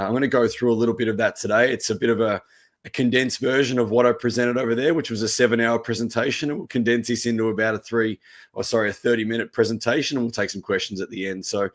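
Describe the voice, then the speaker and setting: A man, a tennis coach, giving a relaxed presentation with a croaky voice. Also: on the low side. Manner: steady explaining, relaxed. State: hoarse.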